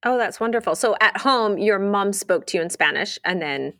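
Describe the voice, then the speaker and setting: A woman's voice: medium pitch, expressive, moderate pace, fairly bright tone. A woman hosting a casual interview, asking questions in an encouraging way. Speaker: interviewer, host.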